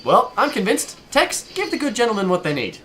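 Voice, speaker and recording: A man's voice: sits high, wildly swooping pitch, brisk, noisy background. A man playing a cavalier, wisecracking smuggler pilot in an audio drama, sarcastic and breezy. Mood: wry, cocky. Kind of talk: scripted audio drama acting.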